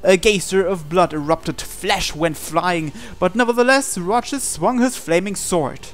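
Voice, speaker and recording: Male let's player, slightly high voice, dramatic visual novel narration with offhand asides, music underneath. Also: a little slow. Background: game music.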